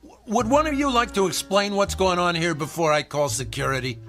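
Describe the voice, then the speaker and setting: Guy playing an outraged fussy store manager, high sharp voice, blustering, radio comedy. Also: brisk, faint music behind. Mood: indignant. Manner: blustering, demanding. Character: indignant, officious store manager, a blustering man. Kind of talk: comedic radio drama acting.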